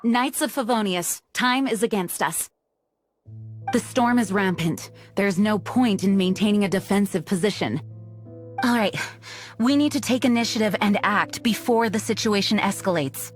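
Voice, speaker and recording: A woman's voice: medium pitch, normal speed, natural voice. A woman playing an earnest, dutiful knight commander in a fantasy video game, speaking formally and with resolute authority. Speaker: acting grand master of knights. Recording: game music under the voice. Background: music.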